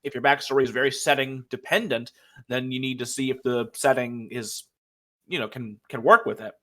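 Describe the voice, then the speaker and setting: A man's voice: mid-range voice, fairly quick, fairly bright tone. A man co-hosting a podcast in casual chat, with noise and clicks in the recording. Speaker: podcast co-host.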